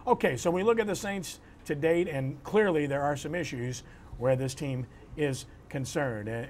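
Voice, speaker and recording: A man's voice: medium pitch, a little mellow, a little hiss or hum. A man hosting a sports talk show, giving emphatic, opinionated analysis in punchy declarative phrases.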